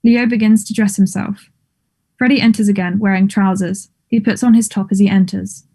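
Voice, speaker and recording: A woman's voice: medium pitch, steady tone, normal speed, dark tone, breathy edge. A woman reading stage directions as scripted narration at a table read of a play.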